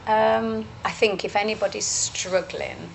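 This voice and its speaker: A woman's voice: mid-range voice, fairly even intonation, a little slow, creaky. A woman chatting earnestly about running, talkative and encouraging. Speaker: interviewee, parkrun runner. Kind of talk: casual chat. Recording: noisy background.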